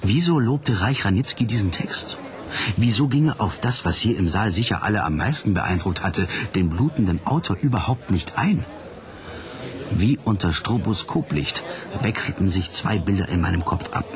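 An actor as a hard-boiled male investigator in a radio drama, telling his case in a very low voice. He sounds suspicious and wry, with a deliberate, sardonic delivery. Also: animated, crowd noise, close to an everyday voice. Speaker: narrator. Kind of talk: scripted radio drama narration.

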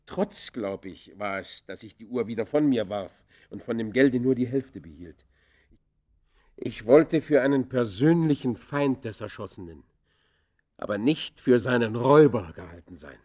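An actor playing a brooding, defiant murderer who confesses in deliberate, literary German radio play style, moving between hushed and vehement delivery. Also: male voice, medium pitch, expressive, lightly acted. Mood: defiant, intense.

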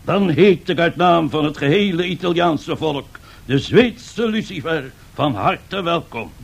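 An actor playing a pompous, boastful Italian fascist dictator in a radio drama, husky and given to grandiose speechmaking. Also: male voice, fairly high pitch, animated, a little slow, full character voice. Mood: self-satisfied. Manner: grandiose, self-satisfied, rhetorical speechmaking. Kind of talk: 1970s radio drama acting.

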